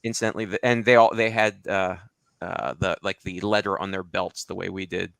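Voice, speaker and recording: A man chatting casually as a show panelist, halting and meandering but enthusiastic. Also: low-pitched, fairly even intonation, vocal fry, fairly bright tone, dry recording. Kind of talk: casual chat, comics commentary.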